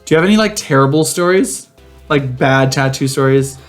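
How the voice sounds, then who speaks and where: A man's voice: mid-range voice, fairly quick, soft background music. A man co-hosting a podcast, casually telling personal stories in a campy, sassy way full of slangy asides. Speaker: podcast co-host. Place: home garage studio.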